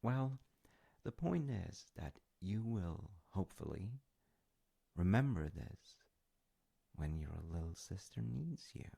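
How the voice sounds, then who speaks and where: A man playing a gentle, loving, wise dad in an intimate audio roleplay, speaking tenderly and hesitantly in short phrases with a gravelly, breathy voice. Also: sits low, big pitch swings, slow, long pauses, dry recording, full character voice. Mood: soft, earnest storytelling. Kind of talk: intimate audio roleplay, improvised-sounding storytelling.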